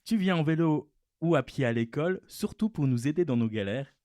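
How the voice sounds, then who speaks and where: A man's voice: on the high side, lively intonation, brisk, a little mellow. A young man hosting a podcast interview in a studio, laid-back and joking, with many fillers. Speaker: podcast host. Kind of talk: casual interview.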